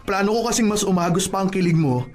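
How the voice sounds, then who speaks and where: An actor playing a cheeky, smooth-talking plumber who flirts with corny lines in a comedic radio drama, a man with a teasing manner. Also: high-pitched, fast talker, faint music behind, lightly acted. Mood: playful, flirty. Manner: teasing, chuckling, corny pick-up delivery.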